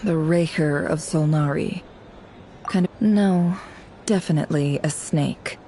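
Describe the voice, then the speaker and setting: A guarded young spy speaks in a low, dark, mellow voice, cool and measured. Her tone broods with bitterness, and faint ambience lingers behind her. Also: a little hiss or hum. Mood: brooding. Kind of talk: video game dialogue.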